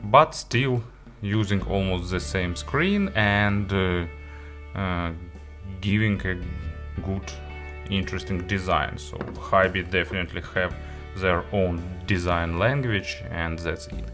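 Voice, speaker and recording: A man presenting a product review as host, talking through it in a halting, hesitant way with frequent pauses. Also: sits low, over music.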